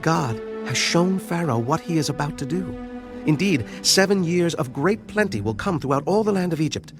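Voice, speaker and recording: A man playing an earnest, wise young Hebrew interpreter of dreams, speaking in a measured, solemn way for an audio Bible drama. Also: on the high side, animated, music in the background. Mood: solemn, assured.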